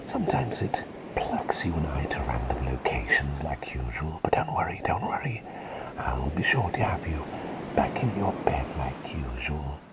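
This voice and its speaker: A man voicing a gentle, whimsical plague doctor caretaker in an ASMR roleplay, whispering softly and breathily in halting, reassuring repeated phrases. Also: a bass voice, sing-song, heavy background noise, full character voice. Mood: whimsical, welcoming.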